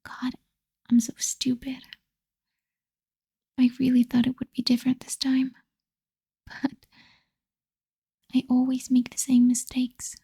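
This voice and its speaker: Woman playing a possessive vampire lover, hushed breathy whisper, slow intimate pleading, very soft dark tone, ASMR roleplay. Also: high voice, monotone, no room sound, full character voice. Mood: remorseful, pleading. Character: possessive lovesick female vampire, tender yet menacing.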